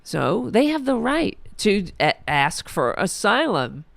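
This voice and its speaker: Hoarse woman ranting, swears a lot, sarcastic, talks slow, dry close sound. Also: medium pitch, very animated, fairly bright tone, no echo. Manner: heated, profane, sarcastic. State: losing her voice. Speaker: podcast host. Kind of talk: angry political rant.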